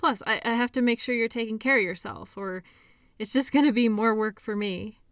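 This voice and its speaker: A woman playing a caring, shy doctor in an intimate audio roleplay, talking softly and hesitantly in a conversational way. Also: on the high side, brisk, dry recording, clean studio sound. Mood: sincere, admiring. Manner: soft, hesitant, giggly, conversational.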